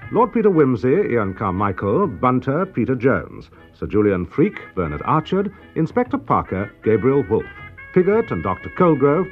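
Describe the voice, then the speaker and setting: A man's voice: mid-range voice, phone-quality sound. A man with a British accent reading scripted credits as a radio announcer.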